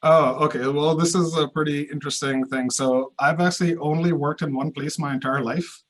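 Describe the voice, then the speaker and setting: Hesitant man from Pakistan, slightly high voice. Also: steady tone, a little fast. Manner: hesitant, with many uhs and restarts. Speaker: research scientist guest. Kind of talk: casual interview answers.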